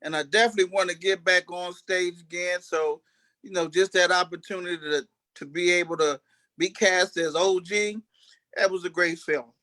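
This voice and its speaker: A man chatting casually as an actor being interviewed, halting and often restarting his phrases. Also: high voice, fairly even intonation, sharp tone. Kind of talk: casual chat.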